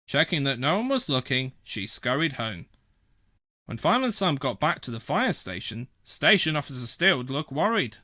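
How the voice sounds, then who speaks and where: Animated male storybook narrator, bright voice. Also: medium pitch, moderate pace, no echo. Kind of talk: expressive children's storybook narration.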